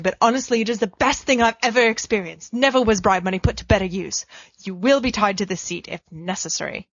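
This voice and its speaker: A woman's voice: mid-range voice, no echo. A woman reading a fan fiction letter aloud with a playful, cheeky, mock-pompous delivery. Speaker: podfic reader. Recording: dull lo-fi dry home recording.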